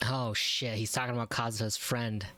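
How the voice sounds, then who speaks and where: A man's voice: mid-range voice, flat delivery, brisk, bright, forceful tone, no room sound. A man giving casual, slangy and exclamatory reaction commentary while playing a video game. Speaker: gamer reacting to cutscenes. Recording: game music behind the voice.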